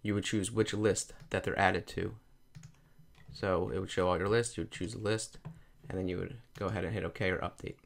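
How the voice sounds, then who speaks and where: A man talking through a software tutorial over a screen recording, explaining each step plainly. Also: sits low, steady tone, a little fast, gravelly. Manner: plain step-by-step explaining, repeats "you're gonna".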